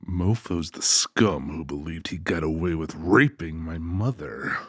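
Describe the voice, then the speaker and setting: A man's voice: very deep voice, unhurried, a bit bright, breathy edge, no echo, studio quality, heavily acted. A man voicing a menacing, mock-polite crime boss out for revenge in an audiobook, with a folksy, formal manner. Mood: mock-polite, bitter. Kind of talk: audiobook character acting.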